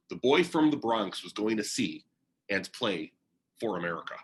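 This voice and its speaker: A man reads a children's picture-book biography aloud with a lively, varied pitch. He phrases deliberately, pausing between short groups of words. A faint layer of background noise sits behind his voice. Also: low-quality recording.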